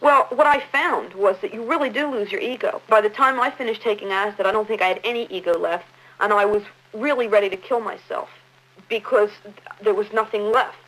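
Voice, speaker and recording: A woman's voice: mid-range voice, a little fast, fairly bright tone, rough recording. A young woman giving a candid, casual interview about her own experience.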